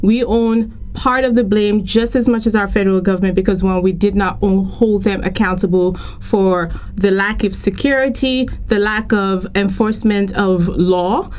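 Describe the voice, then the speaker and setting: A woman's voice: mid-range voice, darkish tone. A woman from Lagos, speaking earnestly and emphatically as an articulate radio interview guest. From Lagos, Nigeria.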